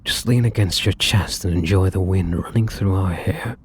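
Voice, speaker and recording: A man playing a tender, devoted, battle-weary vampire lover in an intimate ASMR roleplay, speaking softly and breathily with gentle, hesitant, affectionate pauses. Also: low voice. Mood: adoring, tender. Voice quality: soft, breathy, husky.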